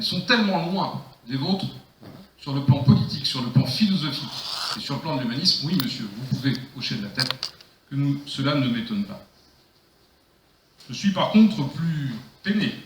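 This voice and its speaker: Forceful, bright-voiced man in an echoey chamber. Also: mid-range voice, recorded from a distance. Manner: emphatic, declamatory, rhetorical repetition. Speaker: mayor chairing the council. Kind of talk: improvised political rebuttal. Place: council meeting room. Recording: echoey room, faint noise, clicks.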